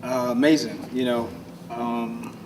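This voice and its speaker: An American man giving casual interview answers with hesitant pauses. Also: medium pitch, flat delivery, music in the background. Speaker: stage play creator and actor being interviewed. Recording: distant mic, noisy room ambience.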